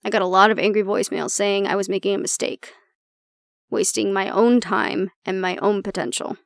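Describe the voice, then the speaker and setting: A woman playing an anxious young woman with a tough front and a soft core, confiding casually and with swearing in an intimate audio roleplay. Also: medium pitch, normal speed, creaky, no room sound, studio quality, close to an everyday voice. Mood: wry, guarded.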